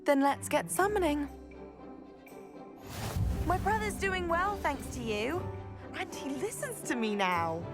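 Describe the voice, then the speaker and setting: A woman's voice: high voice, sing-song, slow pace, long pauses, exaggerated voice. An actress playing a confident, competitive teenage witch schoolgirl in a video game, with a self-assured, teasing manner. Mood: confident, wistful. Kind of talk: video game cutscene dialogue. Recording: clear music behind the voice.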